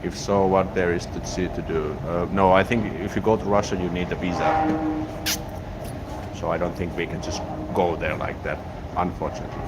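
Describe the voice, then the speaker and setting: A man's voice: sits low, steady tone, moderate pace. A man with a Finnish accent chatting casually on a live stream outdoors, reading viewer comments and rambling with frequent restarts. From Finland. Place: outdoors in a city, near a train station. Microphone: smartphone on a gimbal. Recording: outdoor street noise behind.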